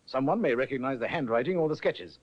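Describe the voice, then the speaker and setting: An actor playing a brisk, courteous police inspector in a 1950s radio drama. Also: male voice, mid-range voice, expressive, close to an everyday voice. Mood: businesslike. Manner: crisp, courteous authority. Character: brisk middle-aged male police inspector. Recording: dull old radio recording.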